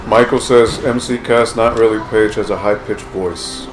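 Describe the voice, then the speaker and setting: A man with a monotone voice chatting casually as a live stream co-host, reading out chat and commenting on a game. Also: fairly low pitch, normal speed, music in the background. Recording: roomy, noisy live-stream room.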